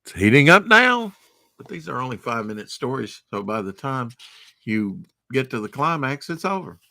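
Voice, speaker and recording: A man's voice: medium pitch, big pitch swings, normal speed, vocal fry, bright, forceful tone, dry recording. A man hosting a late-night storytime, reading a storybook aloud and breaking off into rambling, sarcastic asides. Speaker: storytime host.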